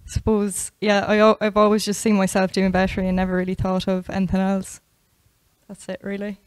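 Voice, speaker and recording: A young woman in her twenties from the west of Ireland with an Irish accent, a veterinary student answering interview questions in a soft, hesitant way. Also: medium pitch, monotone, fairly quick, smooth. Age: 20s.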